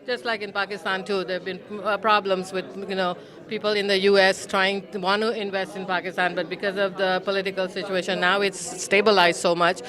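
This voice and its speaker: A woman's voice: mid-range voice, flat delivery, a little fast, a crowd in the background. Woman hosting at some event, normal voice, chatty, jumps in to finish what guests say. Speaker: host. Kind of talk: event interview. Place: consulate reception hall.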